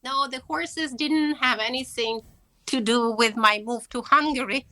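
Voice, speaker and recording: Woman, interview guest over video call, slow, bright voice, hesitant with fillers. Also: sits high. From Russia. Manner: hesitant, many fillers, laughs lightly. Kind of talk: online interview.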